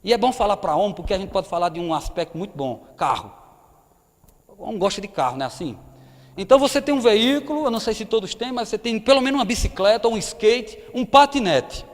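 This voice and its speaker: A man's voice: high voice, animated, fast talker. Thirties male preacher with a northeastern Brazilian accent, chatty sermon delivery, recorded in a church hall with a long echo. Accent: Brazilian Portuguese, northeastern. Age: 30s. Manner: conversational preaching, rhetorical questions to the audience.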